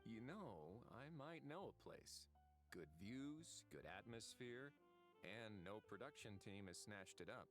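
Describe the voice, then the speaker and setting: Low, wry easygoing duke. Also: male voice, expressive, slow, soft background music. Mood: teasing. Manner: laid-back, dry, teasing. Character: calm, wry, easygoing duke who oversees a prison. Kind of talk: English video game voice acting.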